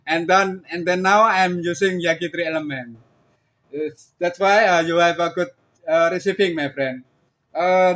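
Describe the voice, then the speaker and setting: A man with an Indonesian accent speaking casually as an amateur radio operator, halting and often repeating his phrases. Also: sits high, a bit bright. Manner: halting, repetitive, often says "my friend". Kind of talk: casual ham radio contact.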